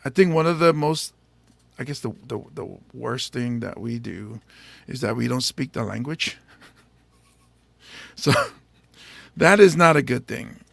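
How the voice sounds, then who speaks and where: A male podcast host chatting casually in an interview, playful and teasing himself, often halting on fillers. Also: medium pitch, expressive, vocal fry, a bit bright, no room sound. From United States.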